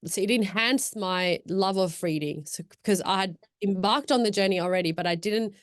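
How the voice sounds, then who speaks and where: A woman's voice: medium pitch, moderate pace, dry recording. Reflective young woman, dry close sound. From Afghan, born in Pakistan, raised in Australia. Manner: thoughtful, rambling, many fillers and restarts. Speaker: podcast guest. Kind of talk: casual reflective chat.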